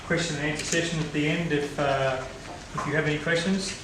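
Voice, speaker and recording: A man's voice: on the high side, fairly even intonation, a little fast. A man moderating a forum, chatty, with light asides to the audience. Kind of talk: public speaking at a forum. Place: lecture hall. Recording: echoey hall from far off, noisy.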